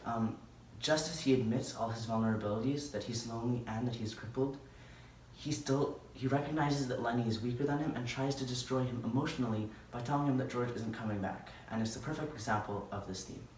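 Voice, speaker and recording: A man's voice: mid-range voice, fairly quick, a little mellow. Young man presenting in an echoey room. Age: young adult. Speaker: student presenter. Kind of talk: explanatory class presentation. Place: indoor room with curtained window. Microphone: distant camera. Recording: echoey room, distant camera mic, faint noise.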